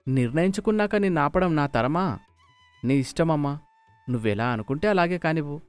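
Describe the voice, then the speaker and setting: Guy doing joking grown-up son in a cartoon tale, super animated, music behind. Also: a little high, fairly quick, smooth, dry recording, lightly acted. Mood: resigned. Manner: joking, mocking. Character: teasing, good-natured grown son of the matriarch.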